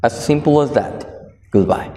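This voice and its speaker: A man from Guatemala whose first language is Spanish, speaking with a Guatemalan Spanish accent as he delivers a sermon, pausing often with fillers and restarts. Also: fairly high pitch, mellow. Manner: halting, many fillers and restarts. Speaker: preacher. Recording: echoey hall with long reverb.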